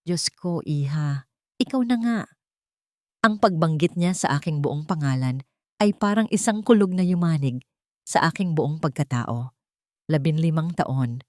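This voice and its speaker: A woman narrating a first-person dramatic story in a measured, dramatic pace. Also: sits low, warm tone, no room sound, studio quality. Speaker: audiobook narrator.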